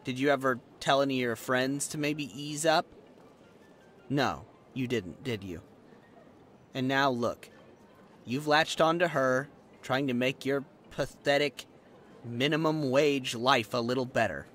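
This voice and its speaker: A man's voice: mid-range voice, unhurried, a bit bright, soft background music, lightly acted. A young man acting a resentful former bullying victim in a roleplay audio drama, with a sarcastic, confrontational manner. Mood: bitter, scornful. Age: young adult.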